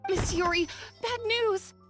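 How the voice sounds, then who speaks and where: A woman's voice: very high voice, takes it slowly, over music. A woman voicing a timid, dutiful young shop assistant in a video game, deferential and flustered. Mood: anxious. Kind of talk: scripted video game voice acting.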